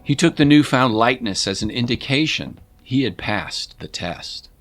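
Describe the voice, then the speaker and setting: A man's voice: medium pitch, animated, normal speed, a bit bright. A man narrating a scripted story as an audiobook narrator, in a dramatic storytelling style. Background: music.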